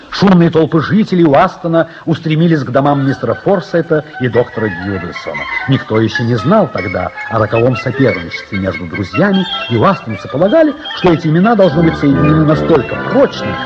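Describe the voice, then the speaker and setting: Man as radio play narrator, dramatic and ironic, animated pitch, slightly muffled sound. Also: close to an everyday voice. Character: dramatic male storyteller with dry irony. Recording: dull radio recording, faint music.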